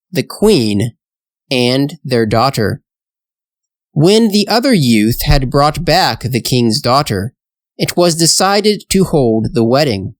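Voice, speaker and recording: Storytelling narrator, very slow pace, deliberate phrasing, medium pitch, learner-friendly scripted reading. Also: no room sound. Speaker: storyteller, English teacher.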